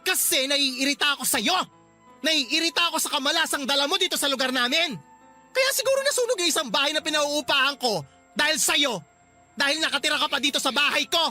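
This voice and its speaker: A man playing an angry, cruel landlord who bullies a child in a radio drama, loud, scornful and accusing. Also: very high voice, fairly quick, faint music behind, full character voice. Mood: furious, menacing.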